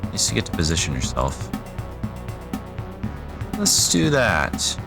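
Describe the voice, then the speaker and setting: A man with a very deep voice that still moves around a lot in pitch, talking easily over his game. His asides are wry and relaxed, and music plays clearly behind him. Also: unhurried. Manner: easygoing, musing, wry asides. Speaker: gaming channel host. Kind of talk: casual let's play commentary.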